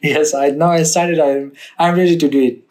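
Man from Afghanistan, halting English. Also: a little high, fairly even intonation, darkish tone. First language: not English. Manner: halting non-native English, many fillers and restarts. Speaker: guest, barber. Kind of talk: casual interview.

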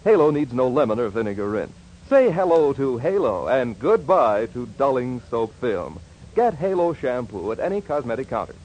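A man's voice: mid-range voice, big pitch swings, moderate pace, noisy background. A man reading a scripted advertisement as a commercial announcer on an old 1940s radio broadcast.

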